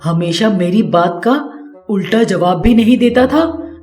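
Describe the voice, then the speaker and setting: A woman voicing a bitter older mother-in-law who scolds and shouts at her daughter-in-law, in an echoey room with music. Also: medium pitch, measured pace, natural voice. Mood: angry scolding. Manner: harsh, shouting.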